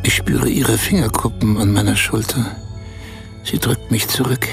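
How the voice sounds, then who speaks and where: An actor playing a man in a radio play who confides a strange, embarrassing adventure in short, halting phrases. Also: lowish voice, steady tone, gravelly, soft background music. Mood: embarrassed, confiding. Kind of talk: radio play, intimate first-person storytelling.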